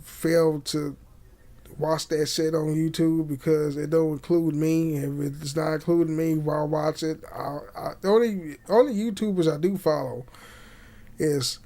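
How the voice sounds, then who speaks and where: A young man hosting a podcast, chatting casually and haltingly, with repeated words and frequent swearing. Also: fairly high pitch, steady tone, mellow. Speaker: podcast host. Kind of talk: casual rambling chat with swearing. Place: small home room indoors. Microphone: condenser mic on boom arm.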